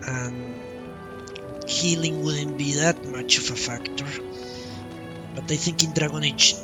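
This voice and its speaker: A male streamer gives casual game commentary at an unhurried pace. He thinks aloud in short, halting phrases over clearly audible game music. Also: fairly high pitch. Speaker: live streamer playing a role-playing game. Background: video game music.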